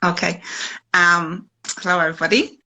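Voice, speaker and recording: This is an Irish woman in her forties with a low-pitched, bright, forward voice. She tells her own story haltingly, filling pauses with frequent ums like someone unused to public speaking. The sound comes through a phone mic on a live stream. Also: fairly even intonation, poor audio. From Ireland. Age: 40s. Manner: hesitant, many ums, unpracticed speaker. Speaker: guest.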